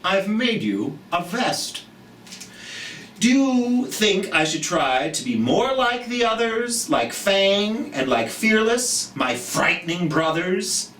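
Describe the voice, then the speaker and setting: A storyteller voicing a gentle, wistful male dinosaur from a picture book, in earnest singsong rhyme. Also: medium pitch, very animated, takes it slowly. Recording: roomy, distant mic, background noise.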